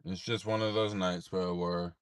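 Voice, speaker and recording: A tired man with a deep voice, rambling in a laid-back way with many fillers while livestreaming from his parked car at night. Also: fairly even intonation, moderate pace, clear, resonant tone, no echo, clean studio sound. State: tired, unable to sleep. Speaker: streamer. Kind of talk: late-night livestream chat.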